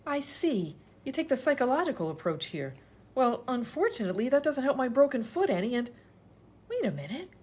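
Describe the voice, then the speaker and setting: A woman's voice: sits high, very animated, a little fast, darkish tone, husky, exaggerated voice. A woman playing an exasperated, indignant hospital patient pleading her case in a comedy radio sketch.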